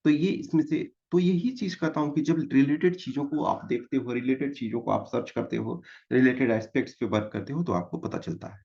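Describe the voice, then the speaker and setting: A man's voice: mid-range voice, vocal fry, mellow. A man with an Indian accent lecturing as an exam coach, explaining answers informally and mixing Hindi with English terms. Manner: informal, mixes Hindi with English terms, reads questions aloud slowly. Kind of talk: explanatory lecture working through exam questions. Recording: dull, lo-fi microphone sound.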